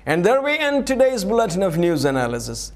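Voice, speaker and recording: High-pitched male news reader. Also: huge pitch swings, brisk, soft background music. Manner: formal, announcer-like delivery. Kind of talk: scripted news bulletin reading.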